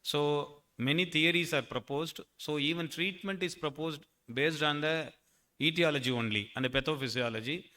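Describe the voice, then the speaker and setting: A man's voice: on the high side, normal speed, bright, forceful tone. A man moderates a medical conference panel, firing questions at the panelists and slipping in wry jokes.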